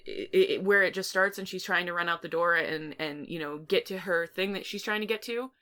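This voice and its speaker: A woman's voice: lowish voice, a little fast, pushed and bright. A woman co-hosting a podcast, talking casually and ramblingly in an informal, teasing film review chat. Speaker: podcast co-host.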